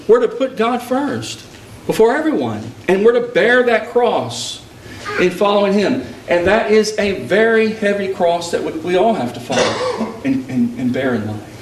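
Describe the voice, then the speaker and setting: Male preacher from Texas, higher voice, emphatic sermon delivery, roomy echo, distant mic. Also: a little hiss or hum. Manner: emphatic, rising preaching cadence. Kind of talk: sermon with personal storytelling. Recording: echoey hall picked up from a distance.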